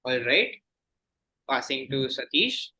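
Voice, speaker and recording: A man's voice: medium pitch, very animated, takes it slowly, sharp tone, rough recording. A man reading quiz questions aloud as quizmaster, formal and courteous in manner.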